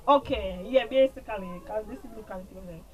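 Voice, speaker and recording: A woman's voice: mid-range voice, moderate pace, narrow, tinny audio. A woman with a Jamaican accent recapping a TV series in a casual, rambling way, repeating herself and trailing off with filler. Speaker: TV show reviewer.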